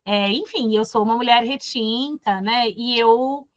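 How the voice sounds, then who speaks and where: Soft-toned Brazilian woman teaching. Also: a little high, measured pace, no echo, muffled recording. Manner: thoughtful, many fillers and restarts. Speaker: course facilitator. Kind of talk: casual classroom talk.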